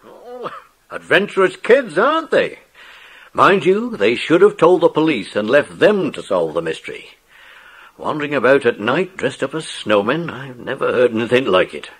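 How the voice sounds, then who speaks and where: An actor playing a brisk, jovial police inspector with a husky voice and a hearty, avuncular manner in a children's audio drama. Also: male voice, mid-range voice, sing-song, fairly bright tone, poor audio. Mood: amused, scolding.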